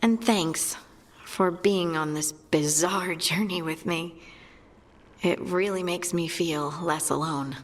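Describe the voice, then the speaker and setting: A woman's voice: on the low side, takes it slowly, big-room sound. A woman playing an earnest, plucky young scout in a fantasy video game, with a conversational manner. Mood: troubled, earnest. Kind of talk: naturalistic video game acting.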